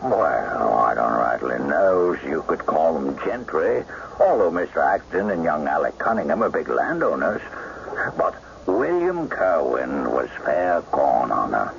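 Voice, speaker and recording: Jovial village publican: rock-bottom gravelly voice, folksy gossip, radio drama. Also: male voice, extremely low pitch, big pitch swings, unhurried, full character voice. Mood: folksy, musing. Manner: folksy, rambling, chuckling gossip. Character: gossipy, jovial male village pub landlord. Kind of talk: radio mystery drama acting. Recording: muffled, noisy old radio drama broadcast.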